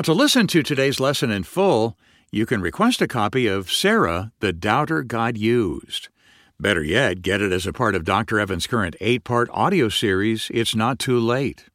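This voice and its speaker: A man announcing for a radio program in a smooth, promotional scripted read. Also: medium pitch, a bit bright, dry recording, studio quality.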